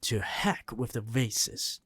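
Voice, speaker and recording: A reader voicing a fierce, spirited old grandmother in an audiobook, talking briskly and impatiently. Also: medium pitch, a bit bright, slightly rough, no room sound, whispered, voice pushed down, full character voice. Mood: thrilled. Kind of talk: audiobook character reading.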